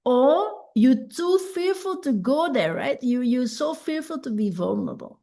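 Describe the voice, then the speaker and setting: A woman coach whose first language is Dutch, teaching a self-help masterclass in an unhurried, reflective way and often checking in with "right?". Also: high-pitched, sing-song, gravelly, warm tone.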